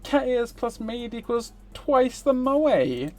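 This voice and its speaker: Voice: medium pitch, sing-song, slow, darkish tone. A streamer reading a visual novel aloud, with casual commentary. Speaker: livestreamer. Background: music.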